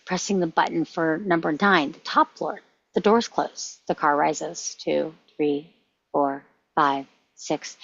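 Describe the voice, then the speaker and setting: A woman's voice: on the low side, gravelly, a bit bright, dull audio. A woman reading stage directions as scripted narration at a screenplay table read.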